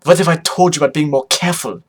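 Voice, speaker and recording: A man's voice: on the high side, quick pace, noisy background, close to an everyday voice. A man voicing a flamboyant vampire pony mother with a grand, emphatic manner and a foreign accent, in a story reading. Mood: scolding. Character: flamboyant vampire pony mother, female, theatrical fashion celebrity.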